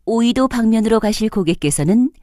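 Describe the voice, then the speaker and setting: A woman delivers formal public transit announcements with careful, polite enunciation. Her voice sits slightly high and moves melodically, with a soft, mellow tone. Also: animated, a little fast, dry recording, studio quality. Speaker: subway announcer.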